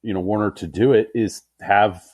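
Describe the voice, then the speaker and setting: A man's voice: on the low side, fairly even intonation, normal speed, darkish tone, slightly rough, no echo. A man co-hosting a podcast in casual chat. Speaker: podcast co-host.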